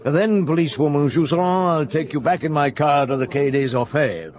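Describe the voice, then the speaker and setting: An actor playing a gruff, commanding Paris police chief inspector in a radio drama, firing off brisk questions. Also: male voice, a little high, a little mellow, lightly acted. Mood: brisk, concerned.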